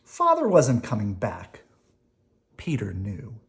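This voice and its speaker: Someone reading a kids book out loud, slow n super lively, dramatic pauses, little asides. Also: mid-range voice. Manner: dramatic emphasis, pausing between phrases. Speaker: children's book read-aloud host. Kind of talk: expressive storybook reading with brief asides.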